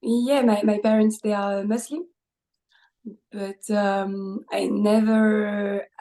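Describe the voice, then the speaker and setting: A woman's voice: medium pitch, fairly even intonation, takes it slowly, mellow. A woman in her twenties from France with a French accent, a podcast guest speaking hesitantly with many fillers and small laughs. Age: 20s. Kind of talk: casual interview conversation.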